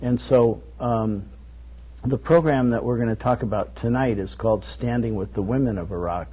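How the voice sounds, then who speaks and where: A man as a guest on a talk show, explaining his work earnestly and at a measured pace, with frequent fillers and restarts. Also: mid-range voice, mellow, slightly rough, poor audio. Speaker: guest, documentary network director.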